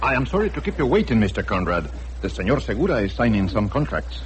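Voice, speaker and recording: An actor playing a suave, formal personal assistant to a Mexican tycoon in a radio drama, speaking with precise courtesy. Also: male voice, medium pitch, sing-song, quick pace, natural voice. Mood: courteous. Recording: noisy old radio drama recording.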